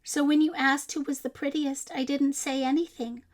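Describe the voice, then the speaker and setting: A woman's voice: high voice, little pitch movement, moderate pace, voice pushed up, lightly acted. A woman voicing a small, homely storybook kitten, meek and humble in manner. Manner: meek, modest.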